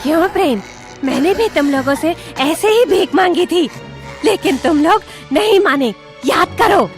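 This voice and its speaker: A woman playing a vengeful witch ghost in an animated horror story, gloating and taunting with drawn-out threats. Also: very high voice, soft background music, heavily acted.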